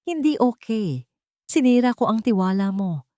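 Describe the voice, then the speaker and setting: A woman's voice: fairly high pitch, animated, normal speed, mellow, dry recording, studio quality, voice pushed up, lightly acted. A woman voicing a remorseful, wealthy male CEO who pleads humbly and earnestly in a romantic audio drama. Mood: anguished, regretful.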